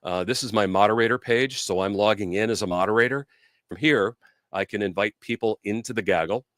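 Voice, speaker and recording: A man's voice: lowish voice, brisk, fairly bright tone, no echo, clean studio sound. A man hosting a casual live product demo and interview, explaining things in an informal, conversational way. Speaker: host and product demonstrator.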